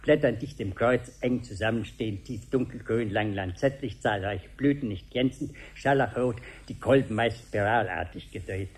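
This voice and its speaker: Pedantic gardener, lowish voice. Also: male voice, moderate pace, few pauses, darkish tone, slightly rough. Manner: pedantic, reciting Latin names. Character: pedantic, plant-loving male gardener, fussy and grumbling. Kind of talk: 1970s German radio drama acting. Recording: faint noise on 1970s radio recording.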